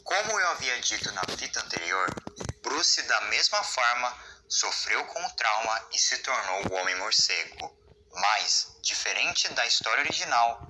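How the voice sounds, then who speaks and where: A man's voice: mid-range voice, pushed and bright, slight background noise, rough recording. A Brazilian man narrating a scripted explainer as the voice of a video essay.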